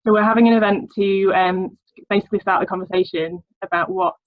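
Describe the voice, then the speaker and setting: A woman from a steering group announcing an upcoming event over a video call, speaking in a hesitant, measured way. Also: mid-range voice, fairly even intonation, dry recording, phone-quality sound.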